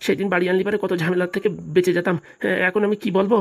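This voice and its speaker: A woman's voice: on the low side, flat delivery, very fast, close to an everyday voice. A woman voicing a sheepish older man in a comic cartoon, nervous and evasive in manner. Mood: embarrassed, evasive. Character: flustered, sheepish older neighbour man avoiding blame.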